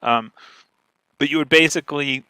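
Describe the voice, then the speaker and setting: A man's voice: on the high side, normal speed, pushed and bright, clean tone. A man speaking as a guest expert, explaining things casually and emphatically, often checking in with the listener. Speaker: guest speaker, podcast marketer.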